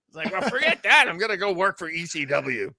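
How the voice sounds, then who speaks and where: Animated guy hosting a casual show, kinda theatrical and gushy, highish voice, very dry sound. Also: fast talker, vocal fry, no room sound. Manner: jokey, theatrical, effusive. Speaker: host. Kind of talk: casual chat.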